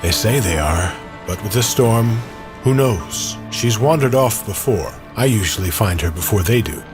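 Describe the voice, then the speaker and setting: A man's voice: fairly low pitch, expressive, normal speed, lightly acted. Man voicing a polite elderly stranger, music behind. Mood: worried, wistful. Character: kindly worried elderly man, stranger searching for his wife. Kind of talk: audio drama character acting.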